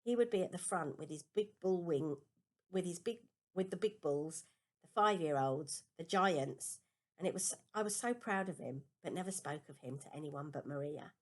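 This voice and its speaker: A woman reading a children's novel aloud as a first-person story, with expressive, deliberate emphasis. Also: lowish voice. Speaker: amateur audiobook reader.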